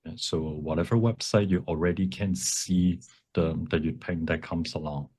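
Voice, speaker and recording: Deep, husky-voiced man presenting a workshop. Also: steady tone, moderate pace, darkish tone. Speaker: workshop presenter and font developer. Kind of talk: informal workshop presentation with demonstration.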